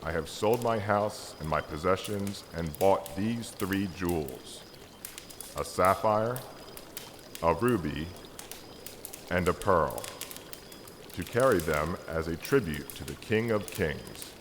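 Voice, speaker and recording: Man reading as deep, solemn, devout Magus. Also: animated, slow pace, pauses often, a bit bright, heavily acted. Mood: earnest, hopeful. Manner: solemn, earnest declamation. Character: Artaban, devout, hopeful Persian Magus and stargazer. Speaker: amateur church reader. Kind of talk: dramatic reading of a Christmas story. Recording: echoey hall reverb with background noise.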